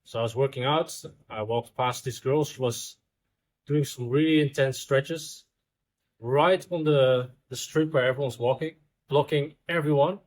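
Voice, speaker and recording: A man's voice: medium pitch, unhurried, a little mellow, poor audio. A man in his twenties, raised in the Netherlands, chats as a podcast guest. He is easygoing and joking and restarts his phrases now and then. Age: 20s. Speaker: guest, researcher. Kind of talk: casual chat. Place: outdoors in Bali.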